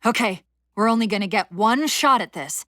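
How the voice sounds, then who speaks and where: A woman playing a brisk, wry, urgent military AI companion in a video game, giving crisp commands and tactical briefings. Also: medium pitch, a bit bright, no echo, clean studio sound, natural voice. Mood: brisk, focused.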